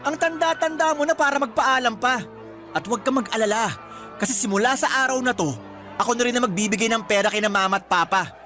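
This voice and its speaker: A conceited young graduate who looks down on his older brother. The voice is very high for a man, Filipino-accented, cocky and taunting. Music runs underneath in classic radio drama fashion. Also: quick pace, sharp tone. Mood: dismissive. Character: arrogant, mocking younger brother in his twenties, fresh graduate.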